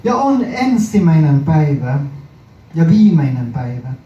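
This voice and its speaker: A man reading his own poem at a live indoor performance, deliberately and in short phrases with frequent pauses. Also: fairly high pitch, sing-song, roomy sound. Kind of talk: poetry recital, performance reading. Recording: muffled, noisy live recording from far off.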